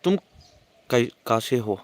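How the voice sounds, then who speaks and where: A man hosting a casual livestream, reading viewer comments aloud and answering in halting English full of restarts. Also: mid-range voice, very animated. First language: not English.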